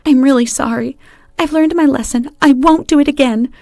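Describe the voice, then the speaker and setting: A desperate mistress from a narrated story, put on by a woman reader. She pleads in a high, whiny voice. The recording is dry and close. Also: moderate pace, mellow, no room sound. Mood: pleading. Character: desperate, pleading younger mistress caught stealing.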